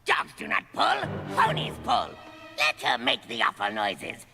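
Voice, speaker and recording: A man voicing a bossy, exasperated, dim-witted dog henchman with a gravelly voice, barking short blunt orders. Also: medium pitch, huge pitch swings, a little slow, music in the background, exaggerated voice. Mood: grumbling, bossy.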